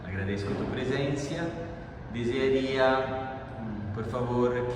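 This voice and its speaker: A man interviewing someone in a formal, courteous manner. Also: on the low side. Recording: very echoey hall, distant mic, noisy.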